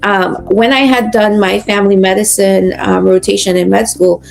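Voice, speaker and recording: Gravelly-voiced woman doctor, reflective. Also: mid-range voice, fairly even intonation, moderate pace, darkish tone, soft background music, low-quality recording. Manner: reflective, many fillers and restarts. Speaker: physician guest. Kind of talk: conversational interview.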